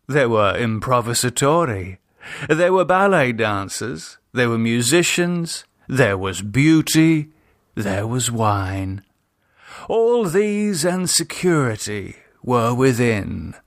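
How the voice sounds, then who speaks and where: Guy reading a gothic horror audiobook, really slow and theatrical, mid pitched voice, super dry sound. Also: fairly bright tone, no echo. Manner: measured, deliberate, theatrical. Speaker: audiobook narrator. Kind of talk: dramatic scripted narration of a classic horror story.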